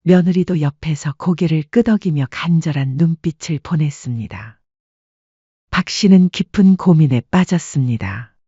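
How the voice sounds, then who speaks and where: A woman narrating a scripted story with a soft, husky voice. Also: deep voice, moderate pace, dry recording, muffled recording.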